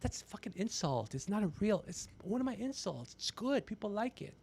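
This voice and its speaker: Man, high pitch, heavy vocal fry, podcast host, casual banter, teasing, profane, excitable. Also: fairly quick.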